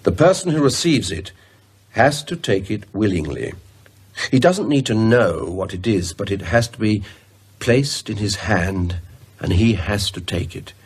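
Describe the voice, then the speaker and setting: A man playing a pedantic, grave scholar in a radio drama, speaking in a husky, hesitant, deliberate way. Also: lowish voice, animated, exaggerated voice. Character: pedantic, grave male scholar delivering grim findings.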